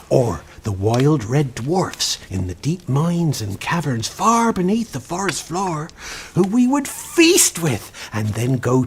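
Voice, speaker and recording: A woman's voice: sits low, slight background noise. A woman playing a courteous, nervous, wistful faun in a children's radio drama, with a genteel, flowery, theatrical delivery. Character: courteous, nervous, wistful faun host of a snowy fantasy land.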